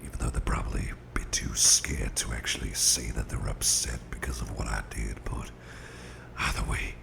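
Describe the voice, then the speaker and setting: A man playing a gruff but tender, protective boss in an ASMR roleplay, murmuring soothingly in a gravelly, breathy half-whisper. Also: extremely low pitch, steady tone, heavily acted. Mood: mildly annoyed. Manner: soothing, unhurried, folksy, murmured. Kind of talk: ASMR roleplay, intimate personal attention.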